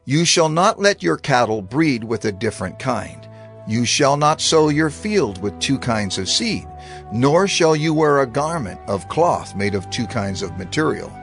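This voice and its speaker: God laying down the law. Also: male voice, mid-range voice, sing-song, unhurried, a bit bright, soft background music. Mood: commanding. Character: God giving laws, measured and commanding. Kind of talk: dramatized scripture reading.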